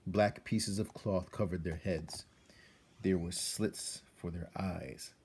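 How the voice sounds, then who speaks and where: A man reading a children's story aloud as narrator, expressive and measured, with dramatic delivery. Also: low voice, husky. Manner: measured, dramatic, addresses the listener.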